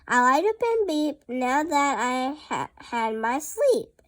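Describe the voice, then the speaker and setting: A young girl reading a picture book aloud as host, in a careful, halting way. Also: high voice, very animated. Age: child. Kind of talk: storybook read-aloud.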